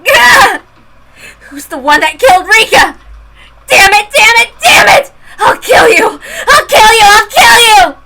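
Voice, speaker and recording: A woman's voice: extremely high pitch, expressive, normal speed, hardly any pauses, voice pushed up, low-quality recording. A woman voicing a grief-stricken teenage girl who shrieks and sobs in a murderous rage. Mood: screaming crying. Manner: shrieking and sobbing.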